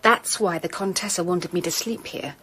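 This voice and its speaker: An actress playing a frightened, insistent Victorian gentlewoman in a radio play, with an intense, theatrical, breathy delivery. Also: fairly low pitch, moderate pace. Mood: shaken, insistent. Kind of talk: dramatic radio play acting.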